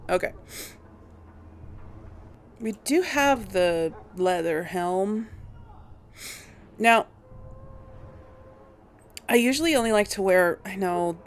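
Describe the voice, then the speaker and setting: Woman chatting thru a video game, kinda slow n gravelly, thinks out loud, some noise behind her. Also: on the low side. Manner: hesitant, thinking aloud. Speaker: host of a game role-play series. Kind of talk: casual gameplay commentary.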